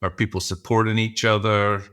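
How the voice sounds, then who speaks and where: A man's voice: fairly low pitch, level tone, moderate pace, fairly bright tone, clean tone. A middle-aged man, an executive coach answering interview questions thoughtfully, often restarting his sentences.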